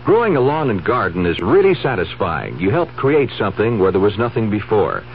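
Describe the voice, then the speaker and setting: A man's voice: lowish voice, sing-song, fairly quick. Male spokesman, very varied delivery, scripted ad read, muffled vintage radio with faint noise. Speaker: commercial spokesman.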